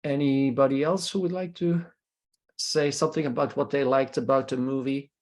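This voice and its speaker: A man from Belgium, leading a film discussion over a video call, pausing often with filler sounds and checking in with "hmm?". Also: medium pitch, steady tone, a little mellow. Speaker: discussion leader, professor.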